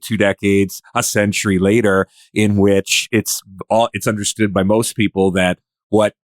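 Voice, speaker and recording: A man's voice: on the low side, no room sound. A man hosting a casual podcast discussion, reflective and hesitant, often rephrasing as he goes. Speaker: podcast host.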